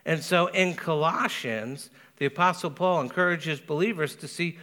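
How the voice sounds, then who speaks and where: A man preaching a sermon as pastor, speaking earnestly with a Spanish accent and slowing down to stress key words. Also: fairly high pitch, sharp tone. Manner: earnest, measured preaching with emphatic slow stresses.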